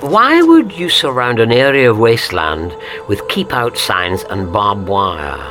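A woman's voice: a bass voice, very animated, measured pace, heavily acted. An actress playing a wary woman questioning why a wasteland is fenced off, in audio drama style. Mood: suspicious.

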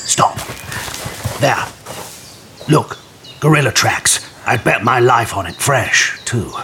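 An actor playing an intent hunter on a beast's trail in an audio drama. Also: male voice, lowish voice, full character voice. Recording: loud music and effects behind.

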